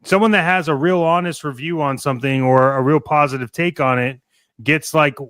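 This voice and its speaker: A man co-hosting a casual podcast, giving opinions in a halting way and often repeating his phrases. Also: on the high side, fairly bright tone, no room sound, studio quality. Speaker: podcast co-host.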